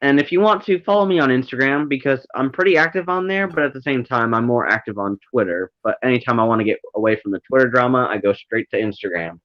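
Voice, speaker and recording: Guy guest on a live stream, bouncy pitch, muffled dry mic. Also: animated, fast talker, few pauses, no room sound. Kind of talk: casual live-stream chat.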